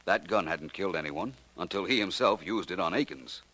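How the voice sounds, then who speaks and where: An actor in a 1940s radio drama playing a composed gentleman detective who lays out how a murder was done. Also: deep voice, brisk, full character voice. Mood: explaining. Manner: calm, explanatory. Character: suave, cool-headed gentleman detective.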